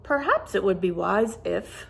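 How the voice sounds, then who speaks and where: A woman voicing a prim, cautious male gargoyle in a children's storybook, formal and measured. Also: mid-range voice, animated, gravelly. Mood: hesitant.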